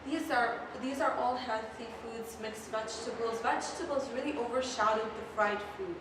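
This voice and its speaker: A woman's voice: a little high, moderate pace. A young woman earnestly describing her culture's traditional healthy foods. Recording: echoey room, distant mic, noisy.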